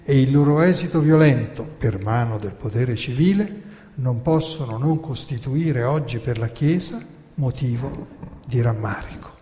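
A man narrating a scripted historical account in a measured, formal, rhetorical manner. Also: mid-range voice, warm tone. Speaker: narrator and presenter. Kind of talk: scripted stage narration, quoting documents. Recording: echoey hall with long reverb.

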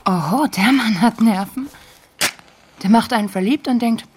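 Very breathy young woman. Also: on the high side, moderate pace, darkish tone. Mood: teasing. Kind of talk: radio drama acting, intimate dialogue.

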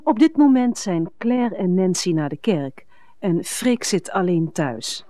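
An actress playing a formal, polite radio drama presenter with a Netherlands Dutch accent, addressing listeners in a scripted style. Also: female voice, lowish voice, lively intonation, a little slow, mellow, dry recording. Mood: polite, welcoming.

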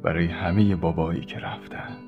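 A man's voice: deep voice, fairly even intonation, phone-quality sound, over loud music. A man giving a sentimental scripted reading in the style of a radio tribute.

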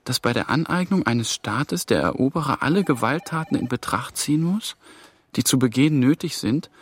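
Reserved young man, mid-pitched. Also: animated, normal speed, slightly rough. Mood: studious. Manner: formal, careful. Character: polite, reserved young male trainee official. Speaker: radio drama actor. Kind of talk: radio play dialogue and reading aloud.

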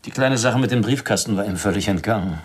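Resigned fugitive, low husky voice, subdued, terse, quietly defensive, radio crime drama. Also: male voice, fast. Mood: detached. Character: hollow, resigned man on the run, quietly defensive.

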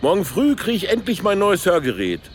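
A man's voice: sits high, wildly swooping pitch, brisk, faint music behind. A man playing a blunt, scheming older political candidate in a satirical radio play, talking in a brusque colloquial way. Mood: breezy, bargaining. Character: blunt, scheming ageing male would-be chancellor candidate.

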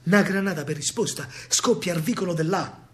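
A man with a Roman accent narrating dramatic verse on stage, declamatory, with pauses and drawn-out words. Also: high-pitched, heavy background noise. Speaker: actor-storyteller in a solo stage show.

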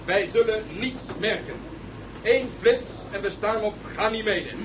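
A man's voice: high-pitched, unhurried. A man playing a stern military officer giving clipped, commanding briefings in a radio drama. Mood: resolute. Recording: distant voice with noise.

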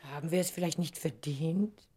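An actress playing a tender, wistful woman reunited with her first love in an intimate German radio drama, soft and often hushed. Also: low voice, moderate pace, slightly rough.

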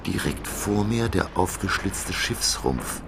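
A very deep, creaky-voiced narrator tells a sea adventure in a radio drama. He sounds grave, solemn and suspenseful over a loud bed of background noise. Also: expressive, slow, heavily acted. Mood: ominous, horrified. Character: grave professor recounting his sea adventure.

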